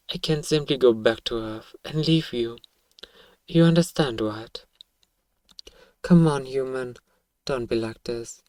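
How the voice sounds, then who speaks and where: A performer voicing an alien researcher in an ASMR roleplay, speaking in formal, measured scientific phrasing with a coaxing, baffled manner. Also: mid-range voice, darkish tone, no room sound, far from the mic, lightly acted. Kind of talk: ASMR audio roleplay monologue.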